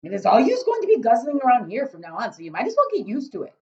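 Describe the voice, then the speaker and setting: A woman voicing a huge, very old, kindly male giant who talks loudly with jumbled grammar and made-up words, in a storybook reading. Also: a little high, huge pitch swings, fast talker, highs cut off, voice pushed up. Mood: earnest.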